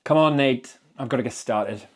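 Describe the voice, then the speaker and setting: A man voicing a steady, caring doctor in a dramatic story reading, firm and reassuring. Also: medium pitch, normal speed, slightly rough, natural voice. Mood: insistent, reassuring. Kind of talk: dramatic audiobook character reading.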